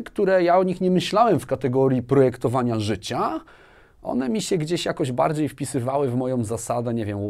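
A man's voice: mid-range voice, darkish tone. A man in his forties talking conversationally as an interview guest, telling personal anecdotes and often adding tag questions. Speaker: interview guest, business manager. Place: small furnished studio, indoors. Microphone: lapel mic.

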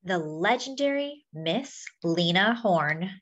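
A woman reading a children's picture book aloud as a story-time host, with deliberate phrasing for young listeners. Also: fairly low pitch, expressive, fairly bright tone, muffled recording.

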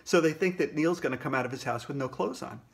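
A man's voice: a little high, quick pace, creaky. A man in his sixties, a children's author, casually explaining trivia to kids.

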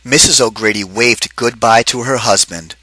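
A man reading a picture book aloud to children, pausing to ask them questions. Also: mid-range voice, sharp tone, no room sound, rough recording. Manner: asks the kids questions between pages. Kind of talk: interactive read-aloud for children.

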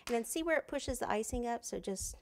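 A woman's voice: a little high, expressive, fairly quick, a little hiss or hum. A woman in her forties teaching cake decorating in a home kitchen, chatty and thinking aloud as she works, recorded on a lapel mic. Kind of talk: casual hands-on tutorial.

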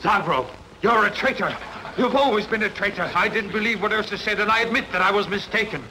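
A man's voice: sits high, brisk. An actor playing a stern, indignant statesman of an ancient city, formal and declamatory, on a noisy film dub. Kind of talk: dubbed sword-and-sandal film acting.

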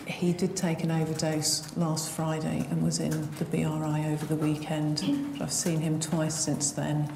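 A woman social worker giving a steady, formal report in a hearing. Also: low voice, unhurried, creaky, hardly any pauses, roomy sound, long reverb tail, background noise.

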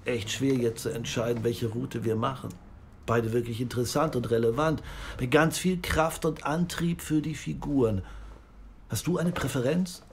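A man's voice: medium pitch, moderate pace, vocal fry, a little hiss or hum, natural voice. Casual, businesslike male editor played by an actor. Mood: interested, pondering. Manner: casual, musing. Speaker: actor in audio drama. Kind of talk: naturalistic audio drama acting.

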